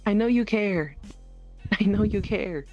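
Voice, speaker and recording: A woman's voice: medium pitch, a little slow, dull audio, over loud music, close to an everyday voice. A woman playing a stressed, caring friend in improvised role-play, hesitant and often repeating her words. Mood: gently declining. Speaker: role-player in a virtual world.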